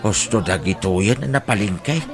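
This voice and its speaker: A man playing a kindly, self-sacrificing elderly father in an audio drama, speaking gently and earnestly. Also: fairly low pitch, lively intonation, moderate pace, loud music behind the voice. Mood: gentle, tender. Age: older.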